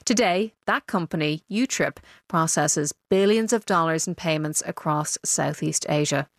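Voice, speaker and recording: A woman presenter with a fairly low voice, recorded dry and close. She reads introductions and asks questions in the manner of a radio business interviewer. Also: unhurried, no room sound.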